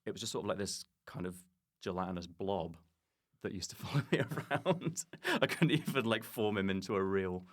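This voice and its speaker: Man, lowish voice, casual comic podcast chat, self-deprecating, joking. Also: animated, normal speed. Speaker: comedian guest on a podcast.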